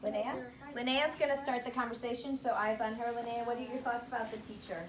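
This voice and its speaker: A woman's voice: fairly high pitch, steady tone, fast, a crowd in the background. A woman teaching elementary school, leading a class discussion with prompting questions and short, directive instructions. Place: indoor classroom with children. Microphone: distant room microphone. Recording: echoey, noisy classroom, distant microphone, children chattering.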